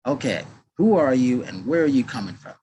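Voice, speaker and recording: A man's voice: mid-range voice, lively intonation, fairly quick, warm tone, a little hiss or hum. A man hosting an interview show, giving upbeat promotional introductions and asking questions. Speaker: show host. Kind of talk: interview host.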